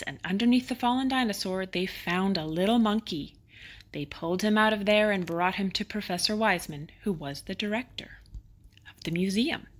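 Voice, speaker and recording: Woman reading a children's storybook aloud. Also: medium pitch. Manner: dramatic emphasis on exciting moments. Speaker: bedtime story narrator. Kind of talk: expressive children's picture-book read-aloud.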